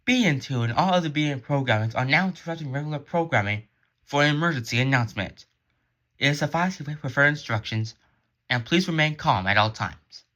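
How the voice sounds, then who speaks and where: A man's voice: medium pitch, expressive, fairly quick, bright, forceful tone, lo-fi sound. A man reading scripted emergency alert narration in a formal, solemn announcer manner.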